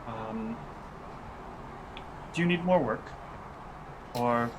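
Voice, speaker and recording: Deliberate male roleplayer with faint background noise. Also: medium pitch, animated. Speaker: player in a tabletop roleplaying game. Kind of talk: improvised in-character tabletop roleplay.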